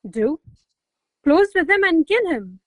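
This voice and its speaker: A woman's voice: very high voice, very animated, slow, no room sound, clean studio sound, exaggerated voice. A woman playing an imperious, hot-tempered noblewoman scolding her servants in a theatrical radio play. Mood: scornful, commanding. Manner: haughty, commanding, theatrical exclamations. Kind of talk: radio play acting of a classic comedy.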